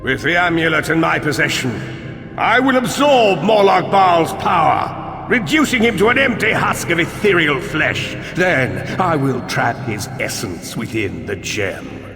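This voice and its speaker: An actor playing a gloating, power-hungry necromancer in a fantasy game, pompous, condescending and theatrical. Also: male voice, on the high side, very animated, measured pace. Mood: grandiose gloating. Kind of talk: fantasy video game acting. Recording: long cavernous reverb, faint music.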